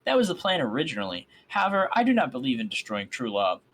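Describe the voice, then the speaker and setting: A man reading the lines of a regal, gracious female pony princess, in a calm, stately way. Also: medium pitch, fast talker, slight background noise.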